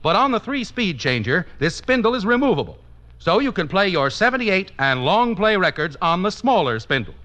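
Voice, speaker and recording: High-pitched, animated vintage radio announcer man. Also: moderate pace. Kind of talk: 1950s scripted radio commercial announcing. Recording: muffled vintage radio broadcast.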